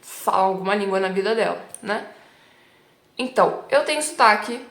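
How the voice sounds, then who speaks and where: São paulo accent woman teaching, chatty and emphatic, mid pitch, echoey room. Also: animated, normal speed, creaky, a bit bright. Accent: São Paulo Brazilian Portuguese. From Brazil. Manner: chatty and emphatic, frequent "né" and "tipo" tags. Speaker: Italian teacher. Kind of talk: casual teaching vlog. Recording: roomy echo.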